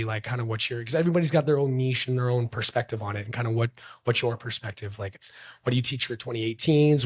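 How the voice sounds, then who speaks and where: A young man hosting a casual interview, talking loosely with many fillers and restarts. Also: mid-range voice. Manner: rambling, lots of fillers and restarts. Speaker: podcast host.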